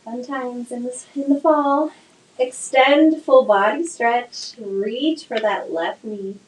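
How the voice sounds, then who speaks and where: A woman teaching a slow yoga class with gentle, soothing cues, her voice a little nasal from a cold. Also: a little high. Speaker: yoga instructor. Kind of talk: guided yoga class instruction. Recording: echoey room, faint noise.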